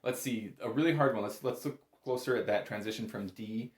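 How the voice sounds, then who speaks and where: A man's voice: on the low side, a little fast, reverberant. A man giving a casual, step-by-step music lesson as an instructor, in an informal, reassuring and encouraging manner. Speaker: bagpipe instructor.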